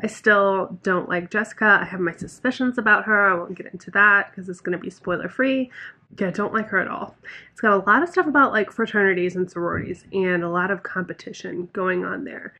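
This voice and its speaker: A woman's voice: mid-range voice, pushed and bright. Chatty woman in her thirties vlogging. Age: 30s. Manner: chatty, frank, swears casually. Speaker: book vlogger. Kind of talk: casual reading vlog chat. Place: hotel room and car, indoors. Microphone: handheld phone camera at arm's length.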